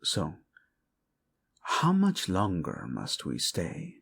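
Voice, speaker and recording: A man's voice: low voice, huge pitch swings, extremely slow, gravelly, fairly bright tone, slightly rough, exaggerated voice. A man acting a passionate, protective male royal in a romantic audio drama, devoted to his queen, intimate and commanding, using Spanish endearments. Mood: tender, teasing. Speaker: voice actor. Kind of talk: romantic audio roleplay drama addressed to the listener.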